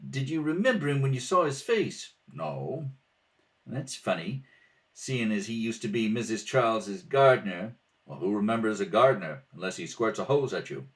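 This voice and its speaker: A man's voice: on the low side, very animated, moderate pace. A man doing a read-through of a radio mystery script, acting out several parts. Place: at home, at a kitchen table.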